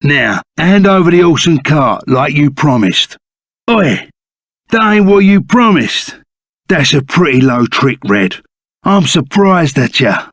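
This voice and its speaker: Casting: a gruff, loyal Cockney rogue for a game, pitched fairly high with gravelly creak, blunt and slangy. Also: male voice, very animated, slow, fairly bright tone, no room sound, studio quality, exaggerated voice. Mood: indignant. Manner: rough colloquial slang, blunt and emphatic. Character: gruff, loyal reformed bandit sidekick, plain-spoken and excitable. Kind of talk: video game character voice acting.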